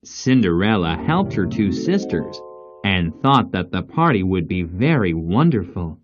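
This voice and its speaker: Male fairy-tale narrator, unhurried and animated, lo-fi sound. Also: deep voice, faint music behind. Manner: slow, deliberate, expressive. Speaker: storyteller.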